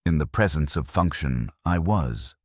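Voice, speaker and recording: A man's voice: a bass voice, animated, measured pace, no echo, clean studio sound. A man reading a scripted first-person dramatic story as narrator, in a detached, solemn, clipped way.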